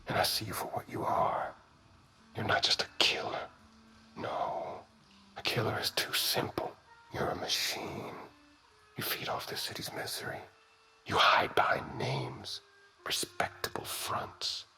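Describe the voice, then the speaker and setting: A man acts out a grim, obsessed investigator in a whispered noir-like monologue. His low voice is slow and menacing, hissing sharply as he swings up and down. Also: wildly swooping pitch, sharp tone, exaggerated voice. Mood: accusing menace. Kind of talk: whispered dramatic monologue, ASMR.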